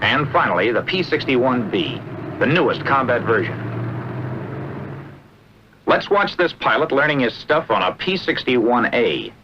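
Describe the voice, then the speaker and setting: American man, vintage military instructional narration, animated intonation, brisk clipped delivery, dull old film soundtrack. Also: medium pitch, a little hiss or hum.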